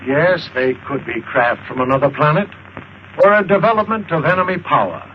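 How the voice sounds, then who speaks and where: A man's voice: fairly high pitch, lively intonation, a little fast, slight background noise. An American man narrating a vintage film in a grave, portentous, formal scripted style. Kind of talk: 1950s dramatic scripted narration. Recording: dull old film soundtrack with music behind. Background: music.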